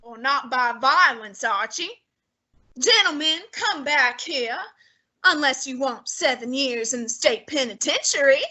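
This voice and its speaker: A woman's voice: sits high, lively intonation, unhurried, sharp tone, heavily acted. A woman playing a pompous, heavyset older male detective in an old-time radio drama, speaking with haughty, fussy, lofty diction.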